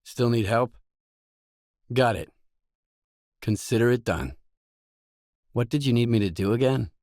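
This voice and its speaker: A man's voice: medium pitch, unhurried, darkish tone, no echo, studio quality, lightly acted. A man playing a matter-of-fact mercenary stalker, the hero of a video game, speaking in terse, businesslike dialogue lines. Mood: matter-of-fact, guarded.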